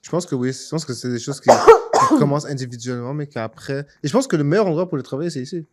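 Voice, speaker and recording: A man's voice: on the high side, sing-song, fast talker. A man giving his views in a casual discussion, informally mixing in English words. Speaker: podcast panelist.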